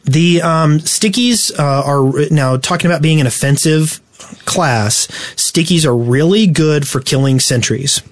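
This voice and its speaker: A man co-hosting a casual gaming podcast, explaining tactics in a halting, instructional way. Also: on the high side. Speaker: podcast host.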